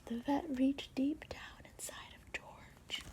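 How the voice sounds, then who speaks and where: A woman reading a children's storybook aloud in a soft ASMR whisper, with playful asides. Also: high-pitched, steady tone, takes it slowly. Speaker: storybook reader.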